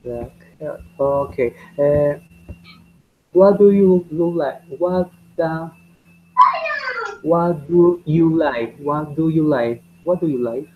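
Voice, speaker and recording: A man teaching a beginner English class. He speaks haltingly and repeats each question several times. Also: fairly high pitch. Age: 20s. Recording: dull lo-fi audio with faint noise.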